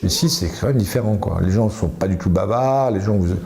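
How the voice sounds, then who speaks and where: A man's voice: fairly low pitch, fairly quick, vocal fry, warm tone. A man who runs a café, speaking thoughtfully in a documentary interview and often searching for words. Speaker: café owner.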